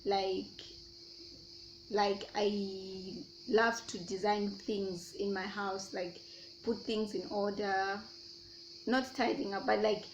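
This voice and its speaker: Slow-talking Zambian woman vlogger. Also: medium pitch, flat delivery, slight background noise, poor audio. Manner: chatty, answers her own questions aloud. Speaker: YouTuber. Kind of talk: casual question-and-answer vlog.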